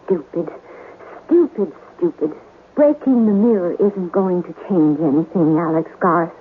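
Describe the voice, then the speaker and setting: An actress playing an anguished young wife of a sea captain, in a melodramatic, pleading old-time radio drama style. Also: female voice, on the low side, a little slow, narrow, tinny audio, slight background noise, close to an everyday voice. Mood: grave, foreboding. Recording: band-limited old radio recording, music behind.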